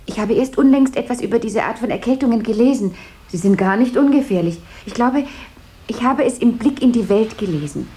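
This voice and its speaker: A woman's voice: a little high, lively intonation, fairly quick, dark tone, close to an everyday voice. A woman playing a chatty, flighty, deferential secretary in a radio play, rambling on and eager to placate. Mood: chatty, placating. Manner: rambling, babbling small talk, eager to placate. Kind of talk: 1970s German radio play acting. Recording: noisy 1970s radio drama recording.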